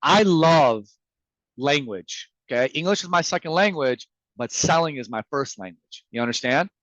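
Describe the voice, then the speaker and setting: A man whose first language is Farsi, giving an energetic, emphatic sales talk as a guest speaker, acting out sample messages. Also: fairly high pitch, moderate pace, dry recording, lo-fi sound. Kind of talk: motivational sales presentation.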